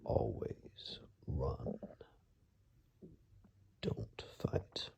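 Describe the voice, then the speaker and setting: A man playing a wary, blunt woodsman guide in a hushed ASMR roleplay, speaking in a husky, halting, matter-of-fact near-whisper. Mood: cautious, frank. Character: wary, blunt male woodsman guide who knows monsters.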